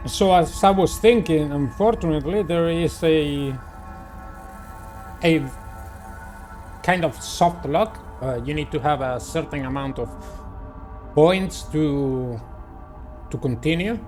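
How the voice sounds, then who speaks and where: Male game narrator, slightly high register, theatrical horror-host delivery with formal phrasing and some hesitation, music underneath. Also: takes it slowly, long pauses, darkish tone. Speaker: host of a gaming channel, narrator. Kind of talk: playthrough commentary and read-aloud visual novel narration.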